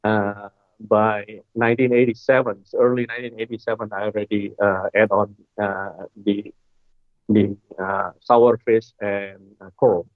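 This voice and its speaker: A man, answering interview questions in a hesitant way with many filler pauses, heard over a remote call. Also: fairly low pitch, little pitch movement, no room sound. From Indonesia. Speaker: guest, coral wholesaler. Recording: dry, tinny phone-like line.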